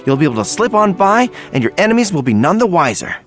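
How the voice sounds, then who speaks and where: An actor playing an earnest, excitable young male army general in a video game. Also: high voice, fast. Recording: game audio with loud music behind. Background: background game music.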